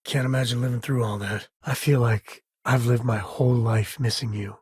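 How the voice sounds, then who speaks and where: An actor playing an easygoing middle-aged frontier ranger and dad in a space video game, husky and raspy, with a wry, plain-spoken manner. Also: male voice, mid-range voice, steady tone, moderate pace, darkish tone, no echo, clean studio sound. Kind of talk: video game character dialogue. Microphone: close, dry studio mic.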